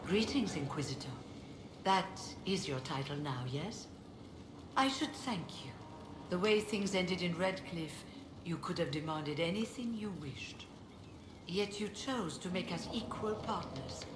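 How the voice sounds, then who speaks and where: Dignified, lowish-voiced mage leader. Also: female voice, expressive. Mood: formal, reflective. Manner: measured, formal, reflective. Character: weary, dignified elven mage leader, former warden. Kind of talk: video game dialogue acting. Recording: roomy echo, clearly noisy game capture.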